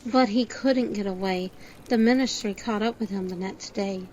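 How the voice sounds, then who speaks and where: A woman's voice: medium pitch, normal speed, darkish tone, close to an everyday voice. A woman playing a curious, gossipy tavern landlady in an audio play, speaking with questioning astonishment. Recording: dull, slightly noisy home recording.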